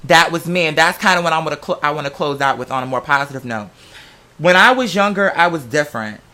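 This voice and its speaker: Male vlogger, bright forceful high voice, casual storyteller, slangy, profane and theatrical. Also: expressive, quick pace.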